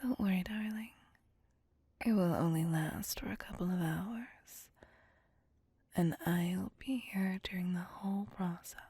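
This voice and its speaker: A woman acts out a seductive female vampire who dotes and threatens in the same breath. The voice is fairly low and husky, mostly a breathy whisper, in a very dry, intimate recording. It is teasing, theatrical ASMR roleplay, possessive and menacing. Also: unhurried, dark tone, no echo, heavily acted. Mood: soothing, possessive.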